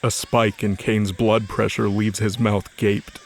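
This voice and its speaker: Narrator for a fantasy audio drama: male, lowish pitch, scripted storytelling. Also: little pitch movement, slow pace, creaky.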